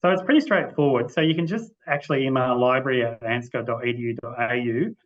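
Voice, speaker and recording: Aussie guy explaining stuff on a webinar, bit halting, keeps rewording, sound kinda dull like a video call. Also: medium pitch. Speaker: library manager presenting. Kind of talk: informal explanatory presentation. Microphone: video-call microphone. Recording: muffled video-call audio.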